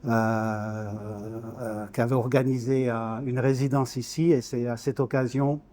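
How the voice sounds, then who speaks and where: A man in his sixties from Switzerland, talking as a guest in an informal interview. His voice is mellow and medium-pitched, and he hesitates, repeating little words while he looks for the next one. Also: steady tone, measured pace. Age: 60s. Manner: hesitant, word-searching, repeats small words. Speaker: guest, painter.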